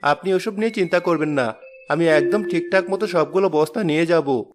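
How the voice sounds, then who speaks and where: Man as a poor cartoon father, humble, polite, gentle, slightly high voice, music. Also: brisk, natural voice. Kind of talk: cartoon voice acting. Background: background music.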